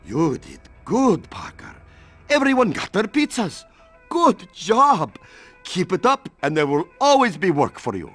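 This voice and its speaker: A man's voice: sits high, wildly swooping pitch, unhurried, a bit bright, soft background music, full character voice. A man playing a demanding but fair pizza shop boss in a video game, with stiff, bossy phrasing. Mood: approving. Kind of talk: video game character acting.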